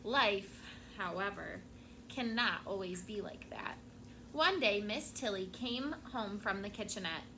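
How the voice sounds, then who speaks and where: A woman teacher reading a picture book aloud to her class, expressive and stopping to prompt the children. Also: medium pitch, measured pace, a little hiss or hum, low-quality recording.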